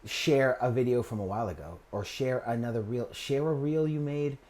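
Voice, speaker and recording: Relaxed rambling male podcast host. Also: medium pitch, moderate pace. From Maine. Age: 40s. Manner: relaxed, rambling, trails off softly and laughs often. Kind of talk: casual interview chat.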